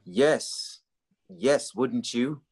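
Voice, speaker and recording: Goofy young man for comedy read. Medium pitch, boyish, earnest, silly. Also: expressive, extremely slow, darkish tone, husky, full character voice. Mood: defensive, evasive. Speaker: actor at a virtual table read. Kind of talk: scripted sitcom read, comic.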